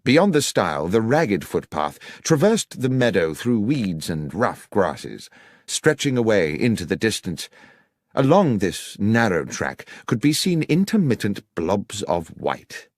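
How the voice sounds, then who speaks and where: A man narrating a literary story in the first person as an audiobook reader, measured and articulate. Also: fairly low pitch, big pitch swings, no echo, clean studio sound.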